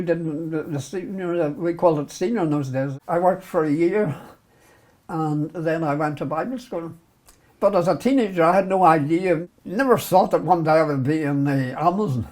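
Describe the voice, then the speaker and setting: A man's voice: fairly high pitch, a little mellow, rough recording. An older man with a Belfast accent, looking back on his life in an interview, self-deprecating and often breaking into chuckles. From Northern Ireland. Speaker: interviewee, retired doctor. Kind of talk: reminiscing interview.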